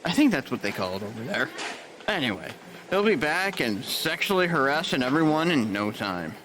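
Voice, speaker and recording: An actor plays an easygoing male nurse in an audio drama. He sounds wry and unhurried, with a mid pitch that moves a lot. A faint hiss sits behind him. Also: big pitch swings, lightly acted. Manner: laid-back, wry.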